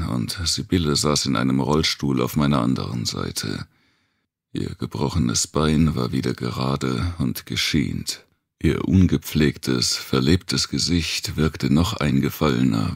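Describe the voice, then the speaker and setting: A man narrating a post-apocalyptic thriller audiobook in the first person, with a measured, deliberate delivery and dramatic pauses. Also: a bass voice, gravelly, no echo, studio quality.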